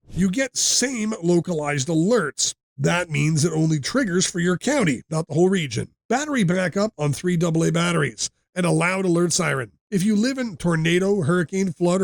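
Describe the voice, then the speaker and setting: Man, fairly high voice, gravelly fry, scripted review narration, direct and punchy. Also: no room sound. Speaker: product review presenter.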